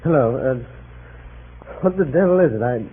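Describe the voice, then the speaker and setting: An actor playing a groggy, flustered but respectful secretary in an old-time radio drama, hesitant and apologetic. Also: male voice, mid-range voice, lively intonation, moderate pace, natural voice. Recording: tinny, noisy old radio recording.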